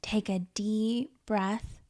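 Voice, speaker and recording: A woman reading a children's book aloud as a storytime host, in an expressive, playful manner with questions to the listener and dramatic pauses. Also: medium pitch. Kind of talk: animated children's read-aloud.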